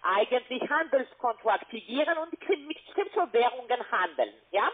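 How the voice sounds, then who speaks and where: A woman in giving a telephone sales pitch, often tagging her statements with little questions. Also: lively intonation, moderate pace. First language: not German. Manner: ends statements with questioning tags. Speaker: telemarketing caller. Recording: muffled narrow phone line.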